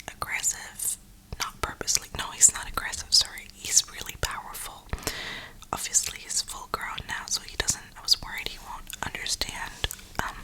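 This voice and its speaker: A young woman rambling casually in a soft, breathy ASMR whisper, with informal, slangy phrasing. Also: low-quality recording. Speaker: ASMR gaming streamer.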